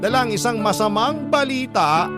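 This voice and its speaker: A man's voice: very high voice, animated, normal speed. A man playing a young letter writer, narrating the story of his youth in a scripted drama style. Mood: reflective. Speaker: first-person narrator. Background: loud guitar music.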